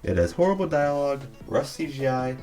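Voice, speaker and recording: A man's voice: mid-range voice, big pitch swings, a little fast, dark tone, faint music behind. A man narrating a scripted video essay, giving opinionated commentary with a sarcastic edge.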